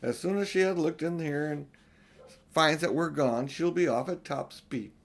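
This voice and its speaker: Man putting on an anxious, high-pitched young girl. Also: moderate pace. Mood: worried. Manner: urgent, protesting. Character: anxious, urgent young girl, eldest sister.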